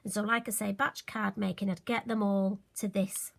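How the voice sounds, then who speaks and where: A woman, slightly hoarse and occasionally croaky, chatting through a crafting tutorial with friendly, self-deprecating asides. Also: mid-range voice, flat delivery, moderate pace. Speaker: card-making demonstrator.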